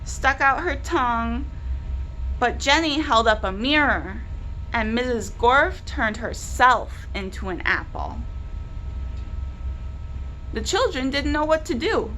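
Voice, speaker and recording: A woman's voice: high-pitched, animated, slow pace, pauses often, sharp tone, a little hiss or hum, low-quality recording. A woman storyteller narrating a children's story aloud, expressively and directly to young listeners. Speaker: library storyteller.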